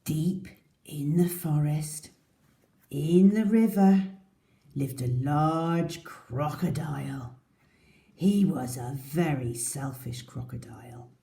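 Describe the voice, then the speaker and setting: A woman reading a storybook aloud to young children as a teacher, in a deliberate, expressive manner. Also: deep voice.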